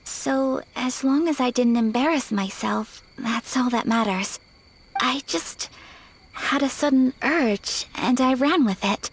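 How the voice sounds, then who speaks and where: A woman voicing a graceful young noblewoman, soft-spoken, polite and earnest. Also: high voice, takes it slowly, slight background noise, low-quality recording. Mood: shy.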